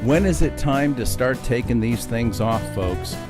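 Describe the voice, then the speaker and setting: A man with a raspy voice, hosting talk radio and running through the week's show schedule in a chatty, folksy way. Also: mid-range voice, lively intonation, measured pace. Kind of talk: casual radio promo talk. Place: on the road, away from home. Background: loud guitar music.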